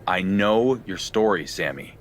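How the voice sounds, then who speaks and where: Casting: a stubborn loner champion wrestler, low voice, resolute, game cutscene with slight background noise. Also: male voice, animated, unhurried. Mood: grim resolve. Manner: terse, resolute, serious.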